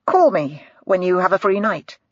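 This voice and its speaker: A woman voicing a polite, smooth-talking male doctor with a soft, coaxing manner, in a slightly dull, dry recording. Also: fairly low pitch, big pitch swings, moderate pace, no echo.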